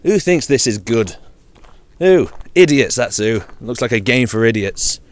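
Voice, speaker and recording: A man's voice: mid-range voice, lively intonation, unhurried. A man with a British accent chatting informally on his own about games, in a dry, wry, jokey manner. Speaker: video game vlogger.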